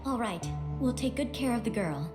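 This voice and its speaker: A polite, kindly caretaker played by a woman in an anime game trailer. Her voice is high and gentle. Music runs clearly beneath her. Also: animated, moderate pace, lightly acted. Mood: kind, businesslike. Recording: music over dialogue. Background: trailer score.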